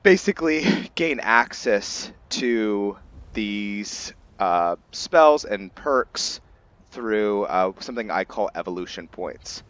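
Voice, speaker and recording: A man hosting a gaming video, giving casual, halting commentary in an informal, rambling way. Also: fairly low pitch, animated, fairly bright tone, no room sound, lo-fi sound. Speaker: gaming channel host. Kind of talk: casual gameplay commentary introducing a roleplay character.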